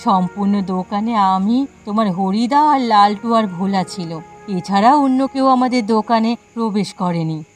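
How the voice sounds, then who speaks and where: A woman's voice: mid-range voice, moderate pace, soft background music, natural voice. A woman voicing an aggrieved village wife who runs a food stall in a cartoon, plaintive and exasperated. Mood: dejected. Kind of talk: cartoon dialogue acting.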